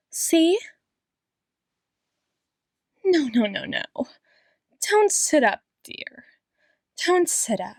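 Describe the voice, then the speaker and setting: A woman playing an obsessive, doting female doctor in an ASMR roleplay, sweetly menacing, lingering on pauses and repeated phrases and slipping into whispers. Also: sits high, dry recording, studio quality. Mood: soothing, fussing. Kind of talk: ASMR roleplay, dramatic monologue addressed to listener.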